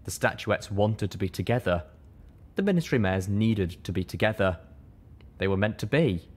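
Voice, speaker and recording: A man reading a first-person story as an audiobook narrator, with expressive, dramatic delivery. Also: on the low side, moderate pace.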